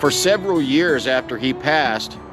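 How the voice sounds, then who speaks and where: A man's voice: mid-range voice, animated, normal speed, over music, rough recording. A middle-aged man sharing a personal story in a reflective, sincere, plain-spoken way, as if chatting to viewers. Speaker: pipe vlogger telling a personal story.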